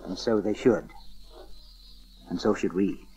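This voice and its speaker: A man acting an astonished, excitable innkeeper husband in a dramatized audio Bible. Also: on the low side, slow. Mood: wondering, cautious. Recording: dull lo-fi cassette transfer.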